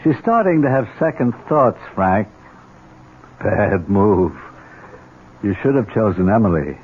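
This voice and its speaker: An actor playing a sly, cynical older uncle, sardonic and insinuating, in a vintage radio drama on a tinny old recording. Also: male voice, lowish voice, animated, measured pace, slight background noise. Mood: sly needling.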